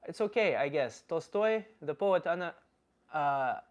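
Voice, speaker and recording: A man playing a blunt Slavic uncle, philosophizing in halting, casual livestream talk and answering chat comments. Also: fairly high pitch, expressive. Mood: casual, opinionated. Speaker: livestream host.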